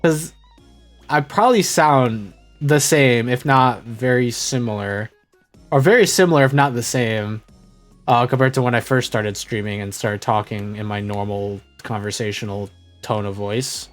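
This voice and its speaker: A man's voice: mid-range voice, unhurried, faint music behind. Rambling young man streaming a game. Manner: rambling, self-correcting, trails off quietly. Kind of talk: casual rambling chat while gaming.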